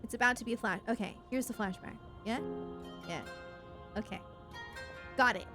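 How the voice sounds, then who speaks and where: A woman's voice: fairly high pitch, normal speed. A woman streaming a game, reacting casually to cutscenes in offhand chat. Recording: loud game music behind. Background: video game cutscene music.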